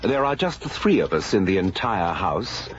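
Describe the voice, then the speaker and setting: A man playing a pompous, self-assured detective in a radio drama, theatrical and deliberate in his delivery. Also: deep voice, big pitch swings. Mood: smug, deliberate. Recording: muffled, hissy radio recording.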